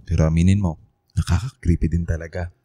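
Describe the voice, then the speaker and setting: A man's voice: deep voice, flat delivery, quick pace, dark tone, no room sound, clean studio sound. A young man playing an uneasy student from the provinces in a Filipino radio drama, hesitant and earnest. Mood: reassuring, uneasy. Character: uneasy young male provincial student who senses ghosts.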